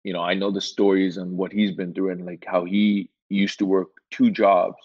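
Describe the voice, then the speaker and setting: A man from Iran, a guest in a casual interview, telling his story in a relaxed, rambling way with lots of filler words. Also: deep voice, moderate pace. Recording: dull lo-fi sound.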